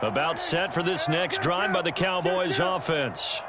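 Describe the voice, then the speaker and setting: A polished American football announcer from a sports video game calls the play in a fairly high voice. His delivery is crisp and scripted, and loud stadium noise runs behind him. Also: expressive, measured pace. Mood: measured, professional. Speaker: play-by-play commentator.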